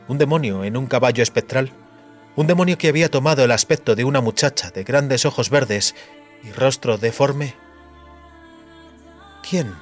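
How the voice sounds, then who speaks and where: A man reading scripted fantasy narration as an audiobook narrator. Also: medium pitch.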